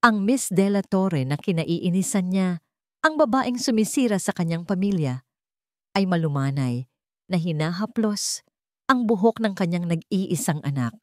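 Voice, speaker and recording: A woman's voice: on the low side, lively intonation, a little slow, a little mellow, dry recording, clean studio sound. A woman with a Filipino accent narrating a dramatic scripted story.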